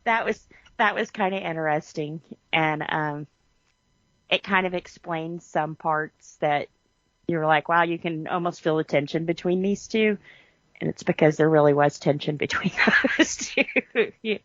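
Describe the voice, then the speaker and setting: Lower-voiced woman chatting, dull lo-fi sound. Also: unhurried, no echo. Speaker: podcast co-host. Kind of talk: casual chat.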